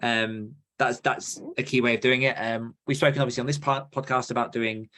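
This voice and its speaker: A man's voice: medium pitch, little pitch movement, fairly quick, bright, forceful tone. A man co-hosting a podcast in a casual, informal chat style, thinking through plans as they talk. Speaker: podcast co-host.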